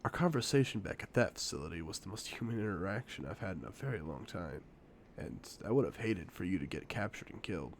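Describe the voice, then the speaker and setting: A man playing a suave, confident secret agent in a spy audio drama, speaking in a playful, theatrical, deliberate way. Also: deep voice, expressive, a little hiss or hum. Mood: amused, warm. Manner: smooth-talking, playful, theatrical, deliberate phrasing. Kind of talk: scripted one-sided audio roleplay, spy drama.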